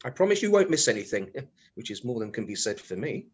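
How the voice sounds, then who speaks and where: An actor playing a cheeky, henpecked middle-aged British husband in a comic radio play, confiding in a mock-apologetic way full of innuendo. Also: male voice, fairly low pitch, big pitch swings, fast, noisy background. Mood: sheepish, placating. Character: cheeky, henpecked, sex-starved husband with a telescope.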